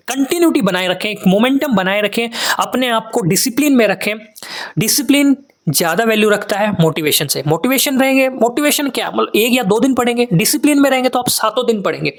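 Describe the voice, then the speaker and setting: A man's voice: sits high, fairly bright tone. An Indian man speaks as an exam preparation coach addressing students. His manner is earnest and instructive, and he builds his advice through repeated lists to drive each point home. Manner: earnest, instructive, repetitive listing for emphasis. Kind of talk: advice talk to students, mixing Hindi with English.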